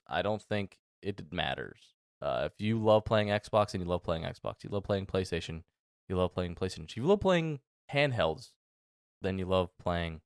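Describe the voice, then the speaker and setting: Man, thirty, podcast host, very low voice, smooth and clear, dry close sound, casual rambling, lots of ums. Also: no echo. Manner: rambling, many fillers and restarts. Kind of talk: casual solo podcast talk.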